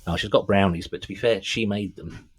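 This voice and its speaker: A man's voice: fairly low pitch, fairly even intonation, fairly quick, slight background noise. A middle-aged man co-hosting a music podcast, chatting casually with an enthusiastic, sweary fan's manner. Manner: enthusiastic, sweary fan talk.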